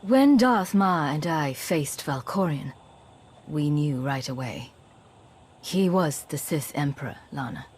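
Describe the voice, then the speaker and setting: A woman playing a skeptical, practical agent commander in a sci-fi game, with dry, measured, questioning delivery. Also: sits low, expressive, a little mellow, slightly rough, full character voice. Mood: cool, serious.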